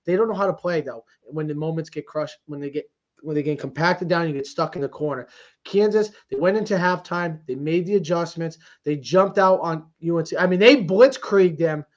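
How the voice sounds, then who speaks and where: A man's voice: on the high side, moderate pace. A man co-hosting a sports podcast, giving casual, emphatic commentary with exclamations and repeats for emphasis, in dull lo-fi audio.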